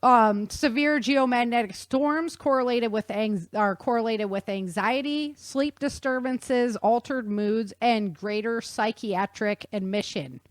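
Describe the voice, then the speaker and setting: A woman's voice: on the high side, a little slow, few pauses, no echo. A woman hosting a podcast, explaining things in an informal, folksy and enthusiastic way as casual chat. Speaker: podcast host.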